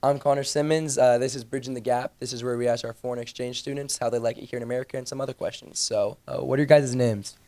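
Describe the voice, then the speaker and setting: A man's voice: mid-range voice, steady tone, a little mellow, faint music behind. A teenage boy anchoring a school morning show, asking students casual, upbeat questions. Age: teens. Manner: upbeat, quick, chatty questioning. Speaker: student news anchor and interviewer. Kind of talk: casual school broadcast interviews.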